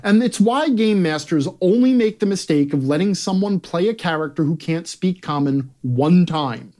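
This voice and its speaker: A man's voice: high voice, lively intonation, normal speed, warm tone. A man reading his own opinion column aloud as a scripted comic rant, brash and sarcastic, with theatrical emphasis and plenty of swearing. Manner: brash, profane.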